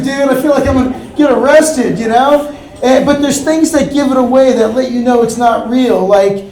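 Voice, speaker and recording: A young man from Miami doing a stand-up set on a comedy club stage, with a deadpan, offhand delivery and quick asides. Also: very high voice. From Miami, Florida. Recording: roomy club with crowd laughter. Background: audience laughter.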